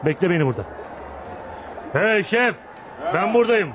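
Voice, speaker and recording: A man's voice: high voice, expressive, moderate pace, a crowd in the background. A man acting in a Turkish radio play as a tough, streetwise young dockworker who hides his kindness behind blunt, swaggering talk. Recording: noisy radio drama recording.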